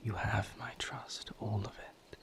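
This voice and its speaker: A man playing a brooding, tender vampire lover with a painful past, speaking hesitantly and formally in a breathy, intimate audio roleplay monologue. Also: flat delivery, fairly bright tone. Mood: apologetic, tender. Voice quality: breathy, whispered, low-pitched. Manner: hesitant, formal wording, measured, pausing.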